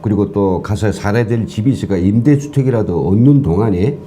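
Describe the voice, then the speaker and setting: A man's voice: fairly low pitch, brisk, mellow, a little hiss or hum. A man from Korea, a former professor giving earnest, lecture-like explanations as a talk show guest. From North Korea.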